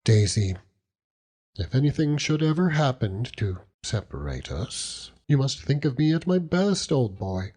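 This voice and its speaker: A man voicing an affectionate, charming young gentleman in an audiobook, speaking earnestly. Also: mid-range voice, big pitch swings, measured pace, husky, voice pushed up. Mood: earnest, wistful. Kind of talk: audiobook character acting.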